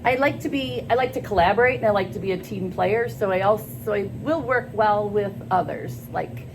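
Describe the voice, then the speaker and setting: Gravelly older woman, earnest and halting. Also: medium pitch. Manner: earnest, halting with many ums. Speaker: school staff job candidate. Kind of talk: job interview answers. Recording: noisy background.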